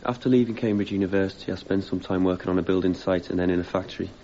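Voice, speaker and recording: Man playing a low-voiced young activist defendant. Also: fairly even intonation, rapid-fire, warm tone, close to an everyday voice. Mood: earnest. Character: earnest young male activist defendant giving evidence. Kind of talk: radio drama testimony. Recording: dull, faintly noisy radio broadcast copy.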